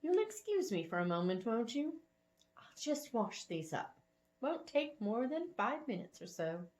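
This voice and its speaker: A spry old matchmaking lady from a storybook, acted out by a woman reader. She is genteel and teasing, with a knowing way of talking and a pitch that lilts widely up and down. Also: sing-song, measured pace, a little mellow. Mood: gracious, knowing.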